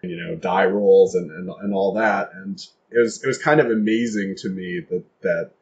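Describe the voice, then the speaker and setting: Lowish-voiced man with noise behind. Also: moderate pace, a little mellow, lo-fi sound. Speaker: podcast guest, playwright. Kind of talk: casual interview chat.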